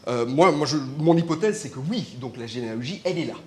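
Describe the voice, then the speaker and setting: A man's voice: fairly high pitch, animated, fast talker, a little mellow, echoey room, a little hiss or hum. A man speaking as a panelist at a live conference, thinking aloud and hesitating as he searches for words.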